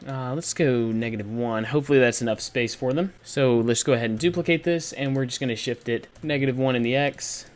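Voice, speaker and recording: A man with a mid-pitched voice narrates a screencast tutorial as its host. He talks informally and walks through each step in a casual, practical way. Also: brisk, hardly any pauses.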